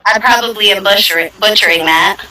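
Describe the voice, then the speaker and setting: A woman's voice: mid-range voice, brisk, sharp tone. A woman, chatting playfully and teasing her conversation partner. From Virginia, United States. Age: 20s. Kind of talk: casual chat. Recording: echoey, distant, busy background. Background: dog barking.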